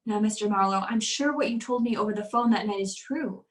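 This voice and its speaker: A woman's voice: mid-range voice, a little fast, close to an everyday voice. A woman playing an anxious, well-to-do wife in an old-time radio drama, pleading and earnest. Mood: grave, pleading. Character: anxious wealthy wife convinced her husband wants her dead. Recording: roomy, echoey sound.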